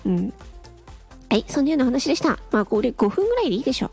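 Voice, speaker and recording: A woman's voice: sits high, big pitch swings, rapid-fire, vocal fry, a little mellow, soft background music. A woman hosting an unscripted solo audio show, murmuring casually as if to herself, close to the mic. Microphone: close to the microphone.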